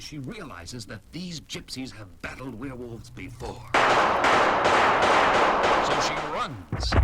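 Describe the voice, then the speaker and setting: Theatrical male horror narrator, animated over music. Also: fairly high pitch, low-quality recording. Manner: theatrical, ominous. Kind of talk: dramatic scripted horror narration, 1970s record.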